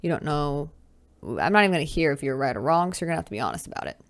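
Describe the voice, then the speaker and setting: A woman's voice: low-pitched, lively intonation, rapid-fire. A woman in her twenties hosting a solo podcast, chatting casually and teasing herself, with sighs and asides. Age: 20s. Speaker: podcast host. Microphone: camera with close mic.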